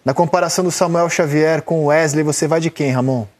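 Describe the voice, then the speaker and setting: A man's voice: on the high side, steady tone, a little mellow. Brazilian man hosting a football panel. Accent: Brazilian Portuguese. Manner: brisk moderator, calls on panelists by name. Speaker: TV sports show host. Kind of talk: sports panel discussion.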